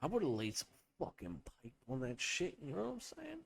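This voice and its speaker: Animated, profane young man riffing. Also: on the high side, normal speed, gravelly. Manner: profane, riffing, playful bits with voices. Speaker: podcast host. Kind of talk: rambling comedic podcast chat.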